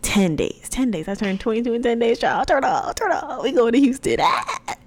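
A woman in her twenties hosting her own faith podcast, talking in a chatty, animated way full of slang. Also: a little high, normal speed. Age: 20s. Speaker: podcast host. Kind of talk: casual faith podcast talk.

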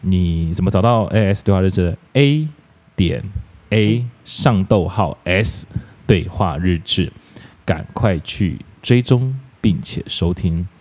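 A man's voice: low voice, lively intonation, slow pace, a little mellow. A man hosting a podcast, talking casually in the role of a teacher to his students. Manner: plays a teacher addressing listeners as students. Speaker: podcast host. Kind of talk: casual interview chat with scripted sponsor reads.

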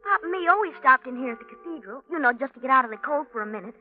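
A woman's voice: high voice, animated, very fast. A woman playing an eager, earnest young boy in 1940s radio drama style. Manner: gee-whiz, breathless eagerness. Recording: tinny old radio recording, faint music.

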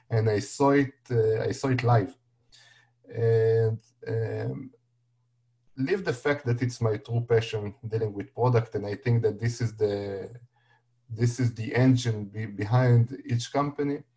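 A man with an Israeli accent, a native Hebrew speaker, answers in an interview. His voice is mellow and dark at an ordinary pitch. He speaks haltingly and restarts his sentences often. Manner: halting, many fillers and restarts. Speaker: guest. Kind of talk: casual interview.

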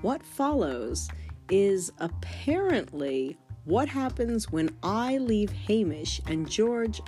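Lively, unhurried woman host over guitar music. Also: medium pitch. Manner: wry, playful disclaiming. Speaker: podcast host. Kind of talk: casual podcast introduction.

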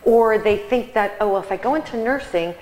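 A woman's voice: fairly high pitch, brisk, darkish tone. Chatty woman host in an echoey hall. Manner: chatty, restarts and many fillers. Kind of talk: casual studio interview chat. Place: catering kitchen set. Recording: roomy hall with long echo.

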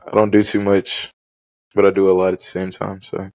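A man's voice: low-pitched, completely flat delivery, a little fast, a little mellow, poor audio. A man in his twenties from Memphis, Tennessee, chatting easily as a guest in short, plain phrases. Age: 20s. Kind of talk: casual chat.

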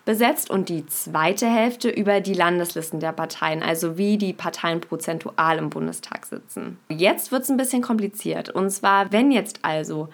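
A woman's voice: on the low side, moderate pace, hardly any pauses. A young woman hosting a podcast, explaining things to her listeners and speaking to them directly. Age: young adult. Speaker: podcast host. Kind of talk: scripted podcast intro and explainer.

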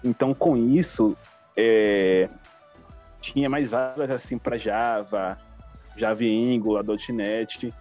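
A man's voice: on the low side, steady tone, slow pace, a little mellow, faint music behind. A man, an intern chatting casually in an interview. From Salvador, Bahia, Brazil. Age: 20s. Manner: chatty, addresses listeners as "cara".

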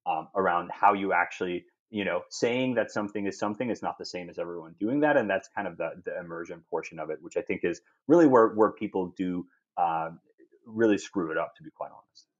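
A man's voice: sits low, a little fast, lo-fi sound. A man from Europe, a podcast guest speaking thoughtfully, often restarting and repeating words as he thinks. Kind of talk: podcast conversation.

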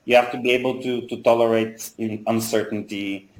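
A man in his thirties who grew up in Bulgaria, speaking at a middling pitch and explaining his ideas step by step. He pauses on fillers as he thinks. His voice bounces around a roomy, echoey space. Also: steady tone. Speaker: guest, software company founder. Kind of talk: casual interview answers.

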